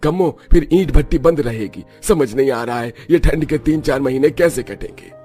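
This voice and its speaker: A man's voice: mid-range voice, moderate pace, dark tone, soft background music, natural voice. A man playing a poor, aggrieved village farmer or labourer in a cartoon story, complaining in plain rustic speech. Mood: worried.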